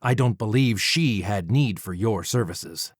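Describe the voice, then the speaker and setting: A man voicing a resolute demigod hunter of murderers in an audiobook, measured and accusing, with a dry, deadpan edge. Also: on the low side, dry recording.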